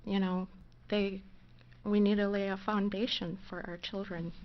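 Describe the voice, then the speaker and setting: A soft-spoken woman giving a nervous, halting closing statement in a courtroom, speaking through a courtroom microphone. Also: mid-range voice, little pitch movement, lo-fi sound. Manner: hesitant, halting with fillers and restarts. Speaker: defendant giving closing argument.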